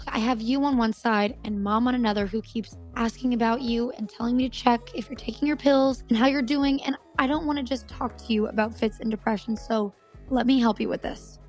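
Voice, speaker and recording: Audio drama role, female actor: young adult sister, female, slightly high voice, busy, impatient, coaxing and blunt, naturalistic delivery. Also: steady tone, brisk, darkish tone, faint music behind, lightly acted. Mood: exasperated. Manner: prodding, blunt.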